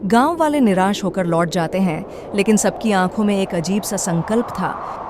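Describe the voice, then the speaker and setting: A woman narrating a children's moral story in steady, measured storytelling. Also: medium pitch, background noise.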